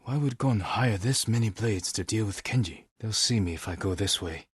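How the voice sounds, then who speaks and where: An actor playing a stern, honorable samurai warrior in a video game, with a husky voice and a measured, terse, commanding manner. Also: male voice, on the low side, expressive, dry recording, clean studio sound. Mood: stern, resolute. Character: stern honorable adult male samurai warrior, dutiful and weary. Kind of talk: video game character voice lines.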